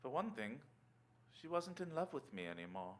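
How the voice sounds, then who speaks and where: An actor playing a suave, calculating former tennis star in a radio drama, with an affable, glib, urbane manner. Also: male voice, medium pitch, very animated, normal speed, close to an everyday voice. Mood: smooth, confiding. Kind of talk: stage radio-drama acting.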